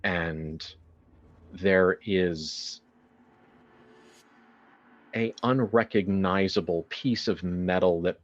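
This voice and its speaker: A man narrating a horror tabletop game as game master in a deliberate, dramatic, halting way, with improvised phrasing. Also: low-pitched, muffled recording.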